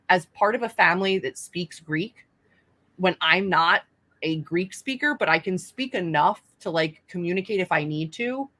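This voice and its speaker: A woman's voice: lowish voice, sharp tone. A young adult woman, an author hosting a casual live stream, chatting in a self-deprecating way with lots of fillers.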